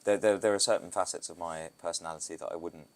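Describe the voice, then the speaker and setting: British man, very low voice, casual rambling podcast guest, photographer. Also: fairly even intonation, quick pace. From Britain. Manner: loose, rambling, with restarts and asides. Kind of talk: casual interview talk.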